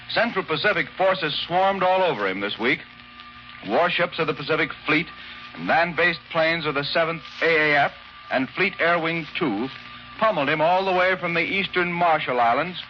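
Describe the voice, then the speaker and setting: Brief: male American war correspondent, fairly high forceful voice, vintage shortwave sound with narrow band. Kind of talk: 1940s scripted radio war report. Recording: band-limited shortwave radio, faint music bleed.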